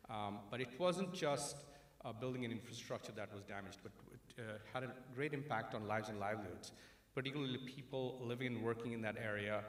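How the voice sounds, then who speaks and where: A man from India giving a conference talk at a podium in a large auditorium, measured and explanatory with many fillers. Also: medium pitch. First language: an Indian language. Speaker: conference speaker. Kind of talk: conference presentation. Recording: roomy hall with long reverb.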